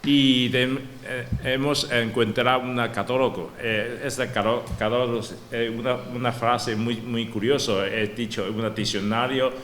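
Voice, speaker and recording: Slow, halting man in an echoing hall. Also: medium pitch, steady tone, a bit bright. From Taiwan. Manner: halting non-native Spanish, many broken-off words and restarts. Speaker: panel speaker, researcher. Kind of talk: conference talk. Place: conference hall. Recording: long hall reverb, faint room noise.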